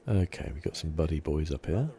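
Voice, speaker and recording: Very deep, creaky-voiced man murmuring softly. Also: animated, fairly quick, a little hiss or hum. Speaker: gaming streamer. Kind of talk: quiet ASMR game commentary.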